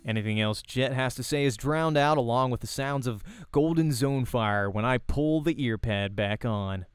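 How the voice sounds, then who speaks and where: A man's voice: mid-range voice, normal speed, clear, resonant tone, dry recording, clean studio sound, faint music behind. A young man hosting a let's play, reading a visual novel aloud in the first person with dramatic storytelling.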